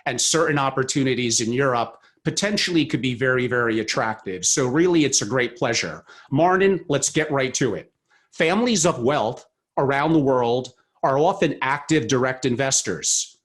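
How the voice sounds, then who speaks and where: A man hosting a podcast, with a voice of ordinary middle pitch. He speaks in a measured, formal way as he introduces a guest and asks questions. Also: animated. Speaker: podcast host. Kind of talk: scripted intro then interview questions.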